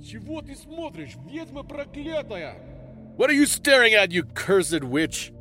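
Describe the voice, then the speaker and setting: A man's voice: extremely high pitch, sing-song, unhurried, pushed and bright, faint music behind, pitched above the performer's own voice, exaggerated voice. Man acting a shrieking angry peasant farmer. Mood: angry, alarmed. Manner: confrontational, outraged. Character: angry frightened peasant farmer shouting at a witch.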